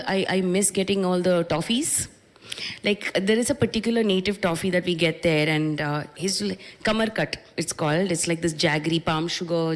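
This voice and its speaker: An Indian woman in her thirties with an Indian English accent, answering questions at a press conference in a reflective way, with many restarts. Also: mid-range voice. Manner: reflective, with frequent restarts and fillers. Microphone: handheld microphone.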